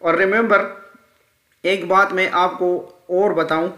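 High, bright-voiced male teacher in echoey room. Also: steady tone, slow. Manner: repeats key terms, checks in often. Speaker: English grammar teacher. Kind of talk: explanatory screen-recorded lesson.

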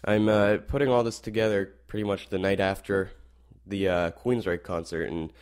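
A teenage boy hosting a rock radio show, slightly hoarse, wrapping up with rambling asides and many fillers. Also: sits low, steady tone, moderate pace, a little mellow. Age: teens. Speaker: radio host. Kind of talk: casual show wrap-up.

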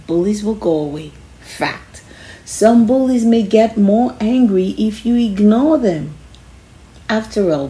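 A woman's voice: mid-range voice, warm tone, low-quality recording. A woman reading a children's self-help book aloud in an instructive way, stressing key phrases.

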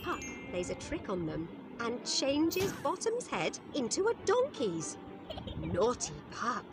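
A woman narrates a storybook tale with very lively, swooping intonation. Her delivery is clear and expressive, and loud music plays behind her. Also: fairly high pitch, takes it slowly. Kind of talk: scripted storybook narration for language learners. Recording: music under the voice.

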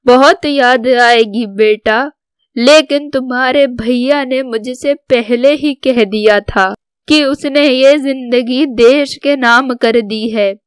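A devoted older mother from a cartoon family drama , played by a woman. The voice is high and very clear, with a slow, solemn delivery that carries pride. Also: dry recording. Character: proud, devoted older mother of soldier sons. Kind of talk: scripted Hindi cartoon story dialogue.

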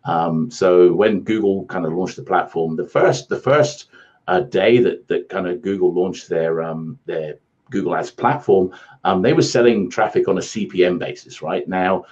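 Deep-voiced British man on a call. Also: moderate pace, darkish tone. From England. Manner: rambling, self-deprecating, many restarts. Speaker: guest, ad agency founder. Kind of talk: casual interview storytelling. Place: home office, indoors. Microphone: earphone headset on video call. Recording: muffled lo-fi video call.

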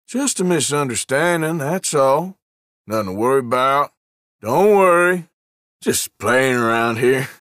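Forceful, drawling Old West outlaw. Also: male voice, medium pitch, no echo, studio quality. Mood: reassuring. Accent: American Western. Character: suspicious, defiant Old West outlaw man. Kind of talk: video game character voice lines.

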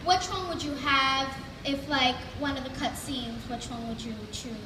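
A nervous woman fan, halting and stumbling as she asks a question. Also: sits high. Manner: halting, stumbling over words. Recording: echoey PA from far off with loud crowd.

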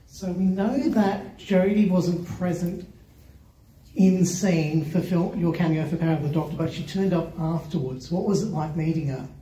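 Very mellow, lowish-voiced woman asking a question. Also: normal speed. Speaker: audience questioner. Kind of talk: prepared question at live Q&A. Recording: echoey room, distant, slight noise.

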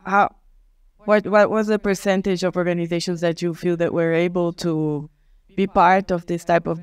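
A man's voice: sits high, clear, resonant tone, no room sound. A man from Brazil asking a question at a conference. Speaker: audience questioner.

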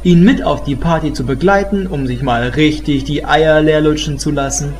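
High-pitched male narrator, noisy recording. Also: normal speed, a little mellow. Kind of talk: scripted narration of comedy audio drama. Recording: noticeable background noise.

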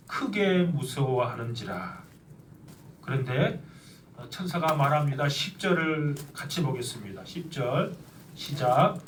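A middle-aged man preaching, his slightly high voice a little nasal from a cold. He speaks earnestly, stretching key phrases slowly for weight. The sound is roomy and set back from the mic in a church, with faint background noise. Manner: earnest, with slow emphatic stretches. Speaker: pastor. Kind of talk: sermon. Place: church sanctuary. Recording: echoey church, mic at a distance.

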